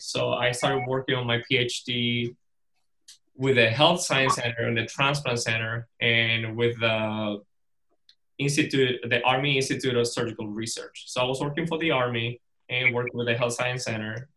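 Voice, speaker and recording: Man, bright clear tone, mid pitch, storytelling talk to an audience. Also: fairly even intonation, moderate pace, a little hiss or hum, rough recording. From Venezuela. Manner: storytelling, with restarts and laughs. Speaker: guest speaker.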